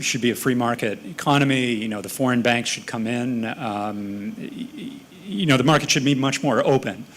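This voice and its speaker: A man's voice: mid-range voice, fairly bright tone. An American man speaking as a panelist in a panel discussion, thoughtful and conversational, often correcting himself mid-sentence. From United States.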